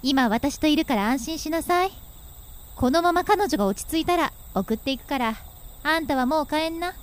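Radio drama older sister, woman acting: high, swooping delivery, bossy, teasing, caring underneath. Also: expressive. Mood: brisk, scolding. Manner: brisk, teasing, blunt with her brother.

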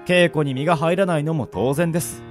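Man playing an easygoing swordsman, lightly high-pitched. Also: a little fast, close to an everyday voice. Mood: earnest. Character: easygoing, roguish male fencing instructor, polite yet teasing. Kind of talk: radio drama acting. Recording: music behind the voice. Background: background music.

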